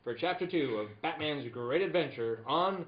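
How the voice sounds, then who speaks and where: A man with a higher, very animated voice narrates like an old-time serial announcer, theatrical and dramatic. He is in an echoey room with people around him. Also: normal speed. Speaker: narrator and host. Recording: echoey room, dull sound, lively group behind.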